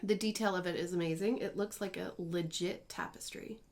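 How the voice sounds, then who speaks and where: A woman's voice: fairly low pitch, fairly even intonation, normal speed, a little mellow. A woman in her thirties talking casually at home about her cross-stitch projects, in a chatty, rambling way with small self-corrections. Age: 30s. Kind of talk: casual chatty crafting vlog.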